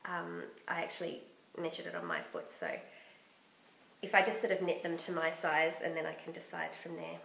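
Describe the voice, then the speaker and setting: A woman hosting a knitting podcast in casual chat, her voice croaky from bronchitis, chatty and enthusiastic. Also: lowish voice, level tone, normal speed, a bit bright, echoey room, slight background noise, rough recording. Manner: chatty and enthusiastic, laughs often.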